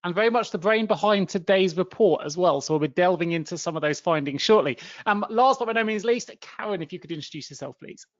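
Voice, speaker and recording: A fluent, upbeat man in his forties hosts a professional webinar with a high voice. He sounds dry and close, with no room echo. The audio is muffled and lo-fi, like a video call. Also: animated, quick pace, hardly any pauses. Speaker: webinar and podcast host. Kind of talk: webinar hosting.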